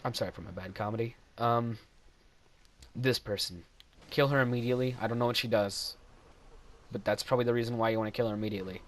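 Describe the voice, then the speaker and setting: A man's voice: medium pitch, fairly even intonation, fast, pauses often. Giggly young man rambling over gameplay. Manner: rambling, self-deprecating, giggly. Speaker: gameplay commentator. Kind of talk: casual game commentary voice-over.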